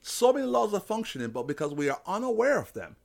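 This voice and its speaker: Animated motivational preacher man. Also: high voice, quick pace, creaky. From Jamaica. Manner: emphatic, preacher-like, illustrates with simple analogies. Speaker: podcast host, motivational speaker. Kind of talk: motivational faith talk.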